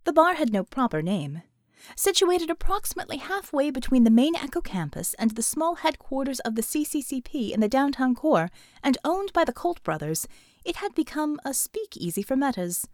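A woman narrating a scripted audiobook novel in a measured, expressive storytelling manner. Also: sits high, dry recording, studio quality.

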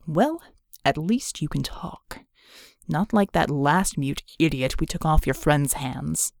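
A woman's voice: deep voice, expressive, takes it slowly, creaky, dry recording, clean studio sound, voice pushed down, heavily acted. A woman voicing a cool, condescending male mercenary in an audiobook, businesslike and disdainful.

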